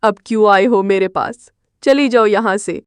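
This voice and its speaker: A woman's voice: mid-range voice, measured pace, clean tone, no room sound, natural voice. A woman voicing the sorrowful, restless ghost of a drowned village girl in a cartoon horror story. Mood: bitter. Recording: noisy, rain and thunder behind.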